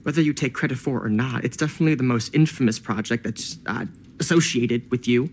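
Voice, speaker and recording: An actor playing a slick, pushy film collector in a video game, glib and teasing. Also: male voice, medium pitch, fast talker, slight background noise, close to an everyday voice. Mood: knowing, dramatic.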